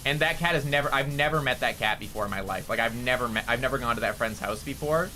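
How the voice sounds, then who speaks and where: A man's voice: medium pitch, fast, pushed and bright, a little hiss or hum, poor audio. A young man with a nasally voice, rambling casually to his chat as a streamer while playing a game. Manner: rambling, chatty, answering chat.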